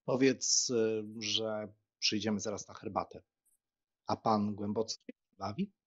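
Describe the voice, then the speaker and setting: Man playing joking young gentleman, jaunty, teasing, low-pitched, muffled lo-fi sound, audiobook drama. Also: steady tone, measured pace, slightly rough. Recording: dull, lo-fi sound.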